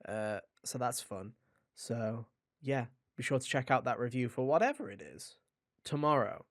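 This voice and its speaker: A man's voice: medium pitch, expressive, normal speed, no echo. A man hosting a podcast in a casual, chatty way, with a jokey, mock-dramatic manner, close to the microphone. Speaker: podcast host.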